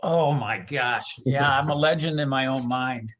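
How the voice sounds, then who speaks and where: A man with a medium-pitched voice speaks as an interview guest about his work as a ballpark announcer. His delivery is casual and halting, as he thinks out loud. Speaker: baseball PA announcer being interviewed.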